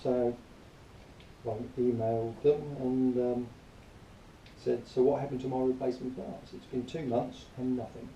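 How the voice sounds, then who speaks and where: A man giving an informal spoken review in a rambling, matter-of-fact, grumbling way, partly reading aloud, recorded quietly on a camera some distance away. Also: mid-range voice, level tone, measured pace, dull audio. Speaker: product reviewer. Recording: distant quiet mic, roomy echo, faint noise.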